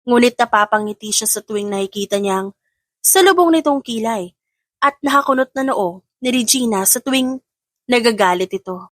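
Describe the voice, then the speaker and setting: A woman's voice: a little high, no room sound, studio quality. A woman reading scripted dramatic story narration as an audiobook narrator.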